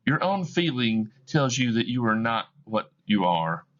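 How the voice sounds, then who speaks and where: A man's voice: medium pitch, sing-song, unhurried, a bit bright, muffled recording, voice pushed up. A man voicing a grieving young woman who speaks to her former love with bitterness and reproach. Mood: reproachful.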